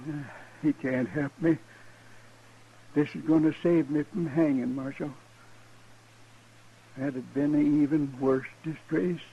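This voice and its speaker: An actor playing a dying, ashamed American frontier man, weak and halting, with a husky, creaky voice in 1950s radio western style. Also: fairly high pitch, steady tone, exaggerated voice. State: mortally wounded, failing breath. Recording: muffled old radio recording.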